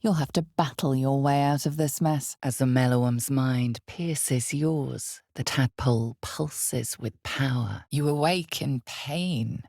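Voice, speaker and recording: A woman voicing a solemn, ominous narrator of a dark fantasy video game, with measured, dramatic storytelling. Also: very deep voice, no room sound, clean studio sound, heavily acted. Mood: measured, foreboding. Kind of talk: scripted second-person fantasy game narration.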